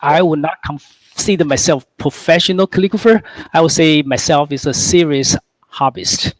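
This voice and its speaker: A man's voice: fairly high pitch, moderate pace, dry recording. Hesitant Taiwanese-born man, dry sound. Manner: hesitant, many fillers and restarts. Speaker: guest calligrapher. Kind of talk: conversational explanation.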